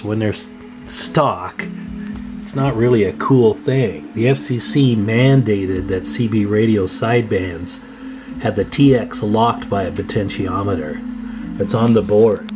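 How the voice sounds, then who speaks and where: A man hosting a radio repair video, explaining hands-on work in a casual, folksy way and chatting informally to viewers. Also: on the low side.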